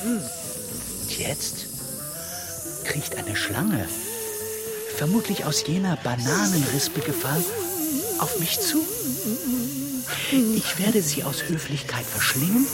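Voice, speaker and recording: An actor playing a fastidious, put-upon man who narrates in a German radio play, dry and fussy, prone to indignation. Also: mid-range voice, wildly swooping pitch, loud music behind the voice. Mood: disgusted, resigned. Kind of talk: German radio play acting, interior monologue.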